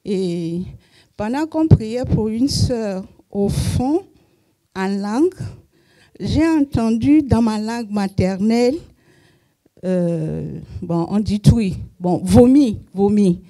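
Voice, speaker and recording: Slow, dark-voiced woman testifying. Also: mid-range voice, expressive. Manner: halting, searching for words. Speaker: church member giving testimony. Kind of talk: spontaneous testimony in church. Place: church hall.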